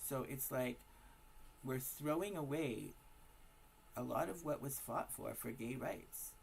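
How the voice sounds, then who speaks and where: A hoarse man with a raspy voice, giving a casual, emphatic opinion monologue to camera full of rhetorical questions. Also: mid-range voice, slow, slight background noise, poor audio. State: hoarse from smoking and partying. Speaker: vlogger.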